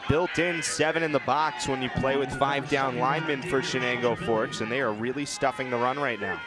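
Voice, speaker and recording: A man's voice: mid-range voice, steady tone, fairly quick. A young man doing television play-by-play commentary of a football game. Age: young adult. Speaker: play-by-play announcer. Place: sports broadcast at an outdoor stadium.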